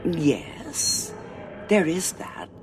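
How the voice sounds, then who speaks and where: Cold, swooping war strategist. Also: female voice, deep voice, big pitch swings, faint music behind, full character voice. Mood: cool. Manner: measured, imperious, precise. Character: cold, calculating senior Time Lord woman, ruthless war strategist. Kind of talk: audio drama acting.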